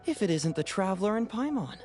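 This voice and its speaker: A man's voice: high-pitched, very animated, brisk. A sly young male detective in a video game, breezy and self-assured. His teasing delivery moves freely up and down over faint music. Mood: teasing, smug.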